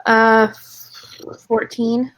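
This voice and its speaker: Slow-paced, higher-voiced woman gamer. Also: completely flat delivery, fairly bright tone, slight background noise, poor audio. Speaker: player in an online tabletop roleplaying game. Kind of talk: casual game chat.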